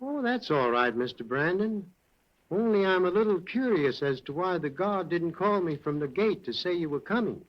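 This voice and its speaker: An actor playing a hesitant, stammering general manager in an old radio drama. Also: male voice, high-pitched, animated, moderate pace. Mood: uneasy. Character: nervous evasive male mill manager. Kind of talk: 1940s radio acting. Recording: dull old radio recording.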